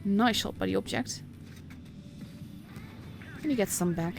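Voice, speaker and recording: A woman's voice: medium pitch, a little slow, gravelly, faint music behind. A woman with a Dutch accent giving casual, chatty live commentary on a game as a stream host. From Netherlands. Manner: chatty, informal, reacting as play unfolds.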